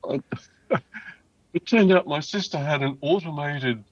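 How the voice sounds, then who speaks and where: A man's voice: a little high, measured pace, no echo, dull audio. An older man telling stories as an interview guest, reminiscing in a casual conversational way. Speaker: interview guest, loudspeaker designer.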